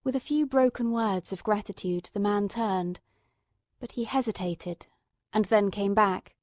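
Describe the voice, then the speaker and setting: A woman's voice: medium pitch, unhurried, darkish tone, dry recording. Woman narrator, very dry sound. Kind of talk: scripted first-person story narration.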